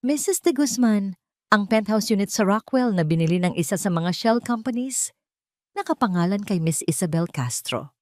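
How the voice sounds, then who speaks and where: Woman playing male investigator, Filipino accent, calm, weighty, measured gravity, audio drama, dry recording. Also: mid-range voice, dry recording, lightly acted.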